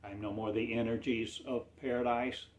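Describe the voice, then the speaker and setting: A man delivering a rambling spiritual monologue in a slow, drawn-out, cryptic declaiming manner. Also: mid-range voice, fairly even intonation, echoey room.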